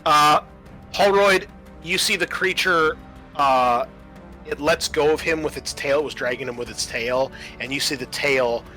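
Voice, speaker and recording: A man's voice: medium pitch, steady tone, moderate pace, sharp tone, poor audio. A man acting as game master, narrating a horror tabletop roleplaying game in an improvised way, mixing storytelling with rules talk and dry asides. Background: background music.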